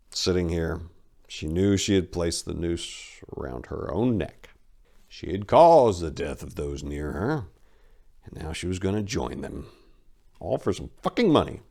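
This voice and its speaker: Deep-voiced man narrating dramatically. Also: sing-song, slow pace, vocal fry, long pauses, a little mellow. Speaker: narrator and author reading his story.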